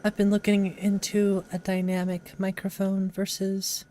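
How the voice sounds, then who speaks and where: Brief: woman streamer, casual wry gameplay chatter, soft mellow tone, flat delivery. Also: medium pitch, unhurried, a little hiss or hum. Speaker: game streamer. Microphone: XLR microphone.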